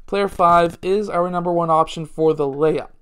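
Clear-voiced man coaching basketball plays. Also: fairly high pitch, fairly even intonation, normal speed, fairly bright tone. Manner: plain, talks through plays step by step. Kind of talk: casual instructional explanation. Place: small indoor room at home.

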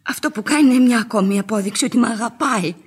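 An actress playing an emotional, romantic young bride-to-be in a theatrical radio play. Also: female voice, a little high, husky. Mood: earnest, defensive. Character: excitable, romantic bride-to-be, young unmarried lady.

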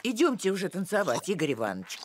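A wildly expressive cartoon nanny. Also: female voice, on the low side, slight background noise. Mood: coy, brisk. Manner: brisk, no-nonsense, expressive. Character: brisk, practical village nanny, adult woman.

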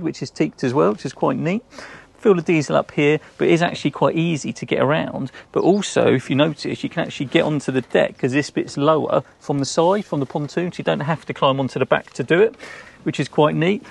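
A man in his forties with an English accent, casually walking through a boat for sale, chatty and relaxed, with frequent ums, recorded outdoors in a marina. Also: fairly high pitch, creaky. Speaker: boat sales presenter. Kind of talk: casual walkthrough tour.

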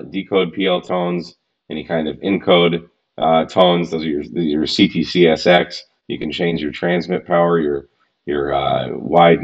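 Man, 30s, very low voice, casual hobbyist tutorial host, frank and chatty, slightly muffled desk-mic sound. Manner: chatty, frank, mildly exasperated asides. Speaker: radio gear reviewer. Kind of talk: casual screen-recorded tutorial. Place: home workbench room.

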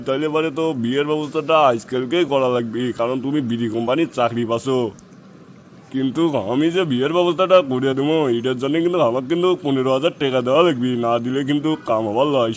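A man's voice: medium pitch, slight background noise, lightly acted. A man with a Bogra accent from northern Bangladesh voicing a wheedling, money-minded village matchmaker in a comic cartoon, explaining and bargaining repetitively. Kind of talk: comic dialect cartoon voice acting.

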